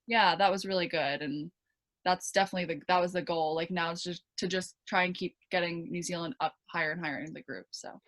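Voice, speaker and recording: Bright chatty Canadian young woman. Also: lowish voice, fairly even intonation, brisk. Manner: chatty, many fillers and restarts, laughs easily. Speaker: guest, pro tennis player. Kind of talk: casual interview chat.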